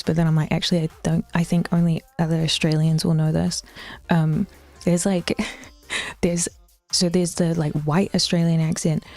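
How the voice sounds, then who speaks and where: A woman's voice: on the low side, warm tone. A young woman with an Auckland New Zealand accent chatting casually with her stream chat, rambling, swearing and sometimes dropping into whispers.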